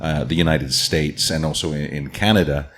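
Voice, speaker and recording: A man from Scotland, raised near London, talking casually as a guest on a podcast, with a relaxed, rambling way of speaking. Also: very deep voice, moderate pace, a little hiss or hum. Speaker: distillery founder, guest.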